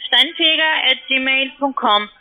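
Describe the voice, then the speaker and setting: A woman's voice: high voice, measured pace. Insistent foreign woman on a tinny phone. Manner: insistent, formal. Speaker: call centre agent. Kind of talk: telephone cold call. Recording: tinny narrow-band phone line.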